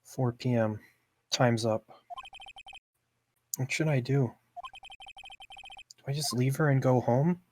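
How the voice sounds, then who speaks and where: A man's voice: mid-range voice, slow pace, pauses often, mellow, slight background noise, natural voice. A man playing a young defense lawyer, earnest, unsure and sympathetic, in an amateur game voice-over. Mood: puzzled.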